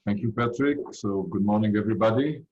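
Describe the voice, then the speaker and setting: Israeli-accented male panelist, low pitch, polite, hesitant delivery over dull call audio. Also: a little hiss or hum. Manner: hesitant, polite, halting phrasing. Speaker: guest speaker. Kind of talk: panel introduction. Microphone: video call. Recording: muffled video call audio.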